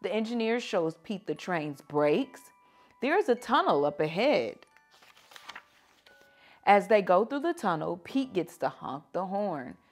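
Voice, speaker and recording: A woman reading picture books aloud to children like a teacher, with animated, drawn-out emphasis. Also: medium pitch, faint music behind. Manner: animated, drawn-out emphasis, asking listeners questions. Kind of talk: expressive children's story read-aloud.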